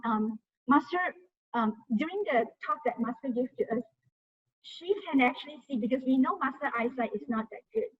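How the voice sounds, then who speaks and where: Female guest speaker, soft husky voice, casual and chatty, tinny phone-like audio. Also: a little high. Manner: chatty, leans on "you know". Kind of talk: casual talk to a study group.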